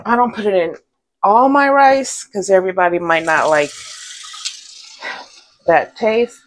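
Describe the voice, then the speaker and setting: A woman in her thirties chatting casually as she cooks in her small home kitchen, relaxed and rambling, wearing a lapel mic. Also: medium pitch, unhurried, slight background noise, low-quality recording. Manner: relaxed, rambling, laughs to herself. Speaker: vlogger. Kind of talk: casual cook-along chat. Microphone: clip-on lapel mic.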